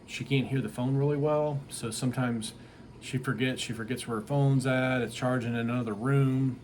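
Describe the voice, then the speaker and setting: A man's voice: mid-range voice, steady tone, moderate pace, darkish tone, a little hiss or hum. A middle-aged man giving a casual, rambling, plainspoken product review. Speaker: tree service business owner reviewing a product.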